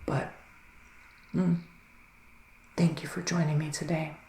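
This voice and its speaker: A man doing a soft-spoken, partly whispered ASMR roleplay as a psychic witch of the woods, with mystical, theatrical phrasing. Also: fairly high pitch, flat delivery, takes it slowly, creaky. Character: psychic male witch living in the woods, giving readings. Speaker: ASMR performer playing a psychic reader. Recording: roomy echo with faint background noise.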